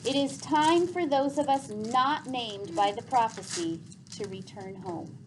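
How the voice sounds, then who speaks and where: A woman voicing a resolute elder leader from a storybook in formal, deliberate speech. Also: fairly high pitch, natural voice. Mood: measured. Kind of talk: storybook character reading. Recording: faintly noisy classroom recording.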